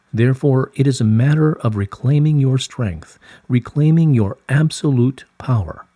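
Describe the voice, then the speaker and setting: A man reading the part of a serene, wise collective of light beings in formal, measured, solemn phrasing from a scripted dialogue. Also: medium pitch, warm tone, dry recording, clean studio sound, close to an everyday voice. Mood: solemn reassurance. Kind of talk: scripted channelled dialogue read aloud.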